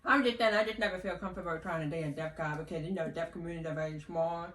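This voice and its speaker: Woman, hard of hearing, deaf-speech accent, very quick delivery, echoey room, distant mic. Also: lowish voice, steady tone, a bit bright. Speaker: podcast host. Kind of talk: casual family chat.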